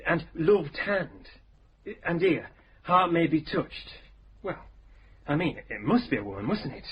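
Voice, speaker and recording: Brief: a lively young sergeant, bouncy voice, informal talk, dull old radio sound with faint hiss. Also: male voice, a little high, unhurried. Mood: musing. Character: young detective sergeant, plain-spoken and restless. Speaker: sergeant to the inspector. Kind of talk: radio crime drama acting.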